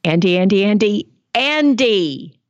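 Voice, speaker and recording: A woman's voice: medium pitch, expressive, a little slow, no echo, muffled recording. A woman co-hosting a podcast, teasing and playful in casual banter. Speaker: podcast co-host.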